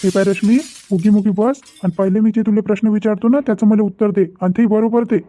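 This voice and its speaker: A man's voice: sits high, fairly even intonation, fast talker, dark tone, no room sound, clean studio sound, close to an everyday voice. A man voicing a stern, exasperated middle-aged father in a cartoon family drama, insistent and repetitive, with a Vidarbha Marathi accent. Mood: stern, questioning.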